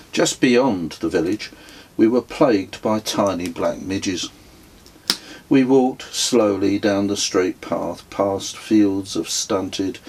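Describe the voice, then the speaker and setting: A middle-aged man reading his own travel memoir as an audiobook, in a measured, dryly wry manner. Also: low-pitched, darkish tone, husky. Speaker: author reading own book.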